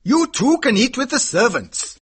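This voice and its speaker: A man voicing a brash older horse dealer, loud and commanding, with a raspy, forceful delivery in a storybook reading. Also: very high-pitched, sing-song, normal speed, pitched above the performer's own voice, full character voice. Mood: brusque.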